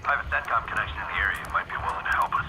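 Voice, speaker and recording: A man's voice: on the low side, animated, rapid-fire, heavy background noise, lightly acted. An actor playing a tough, dry male field operative in a video game, reporting in a terse, matter-of-fact way. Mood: grim, matter-of-fact. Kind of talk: video game cutscene acting.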